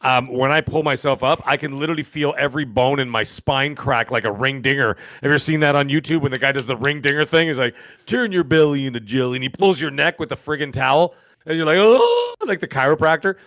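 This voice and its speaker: Man, fifties, fast talker, rambling joker, podcast co-host, dry close sound. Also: mid-range voice, expressive, fairly bright tone, no room sound. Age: 50s. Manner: rambling, joking storyteller. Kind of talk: casual podcast banter.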